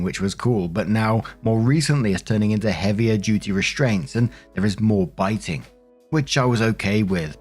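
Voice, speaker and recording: Male narrator, lowish voice, chatty story-reading host with casual asides, music underneath. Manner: chatty, conversational. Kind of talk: reading online stories aloud with casual commentary.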